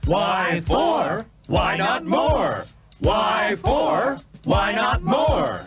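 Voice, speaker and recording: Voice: mid-range voice, sing-song, extremely slow. A singer delivering repeated refrains in a children's musical story chorus. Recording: loud music and drums.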